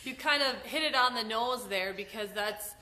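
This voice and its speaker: A woman co-host speaking casually and haltingly, with many fillers. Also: a little high, pushed and bright, big-room sound.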